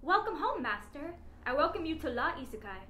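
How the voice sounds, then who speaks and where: A woman's voice: high voice, big pitch swings, brisk, sharp tone, voice pushed up, exaggerated voice. A woman voicing a bitter catgirl maid for a story, mock-polite and seething with sarcasm. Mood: mock-sweet, spiteful. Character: bitter catgirl maid, sugary greeting over seething scorn. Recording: roomy echo with background noise.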